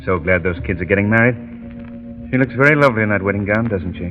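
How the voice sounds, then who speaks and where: An actor playing a commanding, urbane gentleman sleuth, decisive in manner, in a 1930s radio drama. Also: lowish voice, fast, narrow, tinny audio, faint music behind, lightly acted. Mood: fond, teasing. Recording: faintly noisy old 1930s radio recording.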